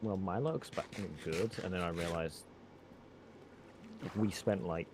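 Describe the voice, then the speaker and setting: A man talking casually with friends during a game, halting and wry, over a noisy voice chat. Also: low-pitched. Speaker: gamer playing Minecraft with friends.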